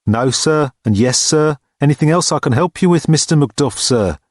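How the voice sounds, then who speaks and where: A man voicing a large, unflappable college porter in an audiobook, dry, sceptical and deadpan. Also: fairly high pitch, moderate pace, dry recording, pitched above the performer's own voice. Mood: deadpan, formal. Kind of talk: audiobook character dialogue.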